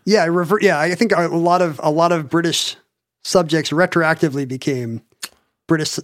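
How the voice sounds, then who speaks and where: A man chatting as a podcast co-host, his voice sitting a little high. He is wry and jokey and trips over his words before he lands a line. The recording is dry and close, with no room sound. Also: no room sound. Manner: wry, joking, halting restarts. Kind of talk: casual chat.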